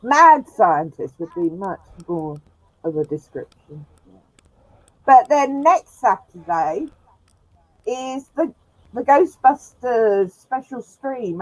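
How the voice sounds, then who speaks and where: Slow-talking bubbly woman, lo-fi sound. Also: on the high side, very animated, a little mellow, dry recording. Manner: chatty, laughs often, bubbly. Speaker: host of a sci-fi live stream. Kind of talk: casual chat. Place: room with a glass roof. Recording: dull lo-fi dry sound.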